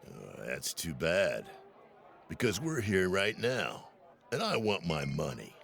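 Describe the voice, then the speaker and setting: A man playing a large, arrogant orc gang leader, slow and threatening, with a gravelly voice, in an audio drama. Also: deep voice, wildly swooping pitch, fairly bright tone, heavily acted. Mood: menacing. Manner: slow, threatening, mock-polite.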